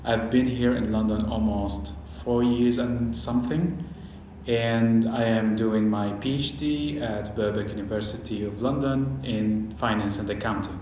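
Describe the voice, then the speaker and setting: Slow, halting man in an echoey room. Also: medium pitch, steady tone, a little mellow, a little hiss or hum. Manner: halting, searching for words. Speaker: PhD student. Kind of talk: casual interview. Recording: very echoey room, faint ambience.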